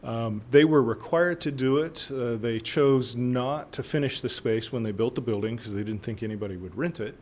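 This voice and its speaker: A hoarse man with a cold, presenting zoning changes at a city council meeting in a halting way, with many fillers and restarts. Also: medium pitch, a little mellow. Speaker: city planning staff presenter. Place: council chamber.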